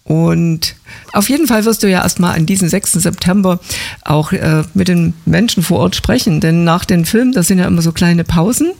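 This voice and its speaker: A man's voice: sits high, normal speed, creaky, a little mellow. A man hosting an interview in a chatty, affable, conversational way. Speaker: radio-style interviewer.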